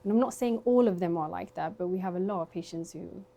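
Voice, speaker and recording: Soft-spoken young woman dentist. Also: on the low side, fast talker, vocal fry. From Sweden. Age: 20s. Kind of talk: documentary interview.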